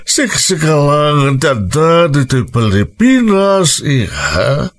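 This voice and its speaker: Bossy rich dad in a radio drama, dramatic, draws words out slow, voice on the high side. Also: male voice, very animated, exaggerated voice. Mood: stern persuading. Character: wealthy, insistent businessman father, older, doting but controlling. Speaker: voice actor. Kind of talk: Filipino radio drama acting.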